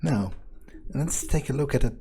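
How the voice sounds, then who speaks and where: A player narrating a video game in character, thinking aloud in a sardonic way with pauses mid-sentence. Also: mid-range voice, animated, creaky, warm tone, husky. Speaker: game player role-playing his character.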